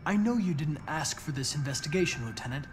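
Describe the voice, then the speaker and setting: Polite, lively android detective played by a man. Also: on the high side, long reverb tail, a little hiss or hum, close to an everyday voice. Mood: businesslike. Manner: polite, formal, measured wording. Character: polite, eager male android detective partner. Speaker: police investigator. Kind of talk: video game acting.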